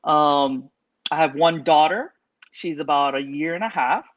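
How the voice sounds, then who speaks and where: A man's voice: a little high, measured pace, a bit bright. A man in his thirties hosting a casual podcast, chatting loosely with fillers. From California. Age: 30s. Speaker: podcast host. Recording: dull lo-fi audio.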